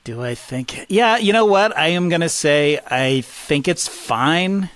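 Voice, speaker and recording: A man's voice: a little high, animated, a little slow, a bit bright, dry recording. A middle-aged man chatting casually as an agreeable, amused podcast co-host. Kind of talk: casual chat.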